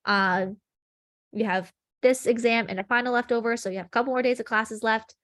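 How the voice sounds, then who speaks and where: A woman's voice: fairly high pitch, steady tone, fairly quick, a bit bright. A young woman leading a chemistry review session over a video call, sniffly and congested, explaining in a friendly way with lots of ums. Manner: friendly, filler-heavy explaining with frequent ums.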